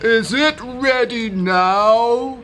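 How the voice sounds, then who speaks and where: A woman voicing a greedy, whiny male donkey in a children's story, with slow, drawn-out speech. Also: mid-range voice, very animated, slight background noise, voice pushed up. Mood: impatient.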